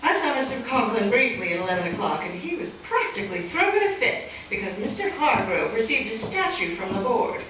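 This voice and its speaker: Actress as sharp-witted schoolteacher, arch sarcasm, higher voice, radio comedy, recorded at a distance in a reverberant hall. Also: female voice, big pitch swings, brisk, few pauses, muffled recording. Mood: wisecracking. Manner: dry, wisecracking, arch. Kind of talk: old-time radio sitcom acting before an audience. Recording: echoey live hall, distant mic, faint noise.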